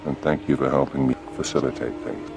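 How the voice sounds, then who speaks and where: A man's voice: a bass voice, fairly even intonation, a little fast, gravelly, music in the background. A man playing a cool, dry British secret agent in a game, clipped and understated. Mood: dry, composed. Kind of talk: cinematic game dialogue.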